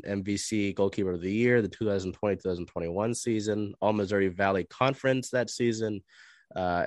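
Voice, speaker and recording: This man is hosting a sports podcast interview while slightly under the weather, so his voice comes out a little nasal and stuffy. His pitch sits somewhat low and the sound is dry and close. He winds through long, roundabout questions. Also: no room sound. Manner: rambling, long-winded questions. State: stuffy nose from a cold. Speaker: podcast host. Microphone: video call.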